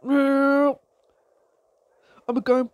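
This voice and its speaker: Giggly, croaky-voiced woman gaming. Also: sits high, very slow, no room sound. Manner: giggly, excitable, frequent laughs and exclamations. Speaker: gameplay commentator. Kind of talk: casual gameplay commentary.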